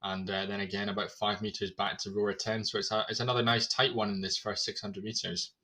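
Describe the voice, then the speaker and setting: A man giving live play-by-play commentary on a virtual rowing race, energetic and talking through it with fillers. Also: on the low side, quick pace.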